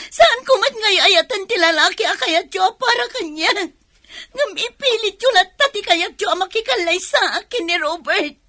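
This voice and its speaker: An emotional young Filipino daughter in a radio drama. Her very high, bright voice is closely and dryly recorded, earnest and sensitive. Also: dry recording, studio quality, exaggerated voice. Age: young adult. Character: sensitive young daughter pleading against an arranged marriage.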